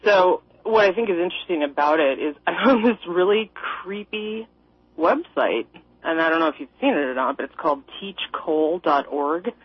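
A woman's voice: fairly low pitch, expressive, moderate pace, husky, no echo. A woman from Minnesota calling in to a radio show, rambling and laughing with a sarcastic edge. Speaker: caller. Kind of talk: call-in radio chat. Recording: dry, muffled phone-in audio.

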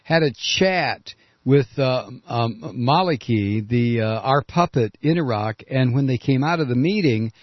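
A man's voice: mid-range voice, expressive, a little slow, no echo, dull audio. Blunt, combative male radio host. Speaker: radio talk show host. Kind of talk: political call-in radio talk.

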